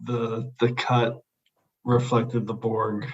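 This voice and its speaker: A man's voice: mid-range voice, flat delivery, slow pace, husky. Male podcast host, mid-range voice, eager and repetitive, slightly lo-fi sound. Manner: enthusiastic, repeats praise words. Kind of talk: casual podcast discussion.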